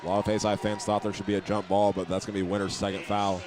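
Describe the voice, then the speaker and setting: A man giving steady, methodical live play-by-play commentary of a high school basketball game. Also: fast. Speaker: play-by-play announcer. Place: indoor school basketball gym. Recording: live gym broadcast with clear background noise.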